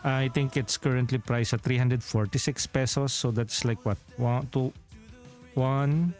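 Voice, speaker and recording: Filipino-accented male streamer, mid pitch, loose rambling chat, faint music under. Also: fairly even intonation, a little slow, darkish tone. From Philippines. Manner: rambling, self-correcting, chuckles often. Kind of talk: casual livestream chat.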